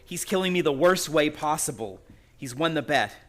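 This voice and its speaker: A man's voice: a little high, expressive, normal speed, a bit bright. An actor playing a tense, hunted younger man in a radio suspense play, earnest and dramatic. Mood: alarmed, despairing.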